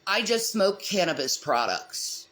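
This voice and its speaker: A woman's voice: mid-range voice, very animated, unhurried, fairly bright tone. A woman co-hosting a casual livestream chat, talking bluntly and defiantly, her voice a little strained from not talking for a while. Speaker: streamer co-host.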